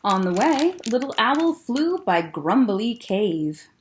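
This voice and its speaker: A children's librarian reads a picture book aloud, slow and gentle, stopping to point out things in the pictures. Her fairly high voice is lively, swooping up and down with expression. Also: a little hiss or hum.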